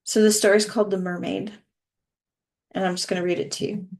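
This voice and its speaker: A middle-aged woman, a wellness coach, speaking earnestly and softly as she introduces and reads a short story aloud. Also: mid-range voice, level tone, fast. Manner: earnest, soft-spoken, with small laughs. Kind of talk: casual intro then story reading.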